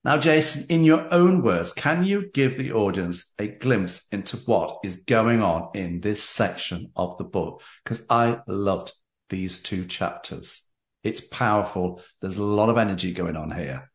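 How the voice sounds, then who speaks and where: Male book-show host, animated and emphatic, swinging pitch, roomy echoing sound. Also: a little slow. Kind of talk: interview, discussing a book with its author.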